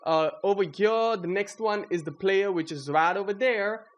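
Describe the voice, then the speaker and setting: A young man giving a casual, slangy walkthrough of his code, excitable and self-deprecating. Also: sits high, moderate pace. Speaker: coder presenting own project. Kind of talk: casual screen-recorded coding walkthrough.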